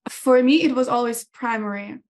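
A woman's voice: fairly high pitch, moderate pace, darkish tone. Ukrainian woman recalling her school years. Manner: thoughtful, restarts mid-sentence. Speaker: guest. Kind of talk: conversational interview.

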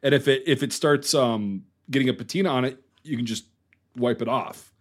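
Relaxed, agreeable podcast co-host. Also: male voice, mid-range voice, normal speed. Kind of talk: casual movie-review chat.

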